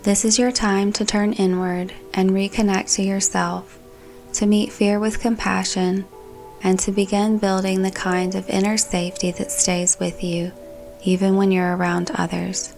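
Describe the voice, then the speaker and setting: A woman narrating a scripted guided meditation in a calm, gentle, soothing manner. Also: mid-range voice, a little slow, creaky. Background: background music.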